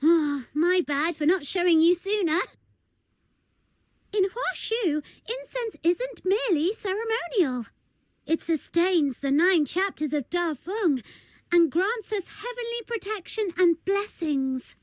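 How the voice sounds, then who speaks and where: High-pitched, eager young guide. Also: female voice, lively intonation, slow pace, no room sound, heavily acted. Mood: explanatory. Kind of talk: video game character acting.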